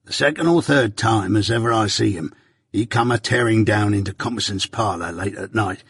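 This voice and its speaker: A man voicing a rough older male ex-convict recounting his past in rustic dialect, confiding and plain. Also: fairly low pitch, normal speed, fairly bright tone, dry recording, studio quality.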